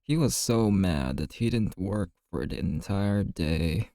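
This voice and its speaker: A man playing a chatty, easygoing bartender in an ASMR roleplay, telling gossipy stories in a casual, friendly, unhurried way. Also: sits low, animated, gravelly, warm tone, no echo, studio quality, exaggerated voice. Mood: amused, gossipy.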